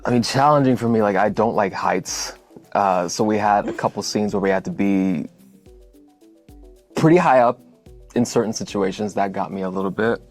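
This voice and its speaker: Deep, gravelly man from Iceland. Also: moderate pace, soft background music. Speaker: actor being interviewed. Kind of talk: casual promotional interview.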